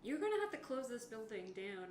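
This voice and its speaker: A woman's voice: fairly high pitch, sing-song, a little fast. A woman playing a tabletop roleplaying game, improvising in casual chat. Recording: echoey room, clear background noise.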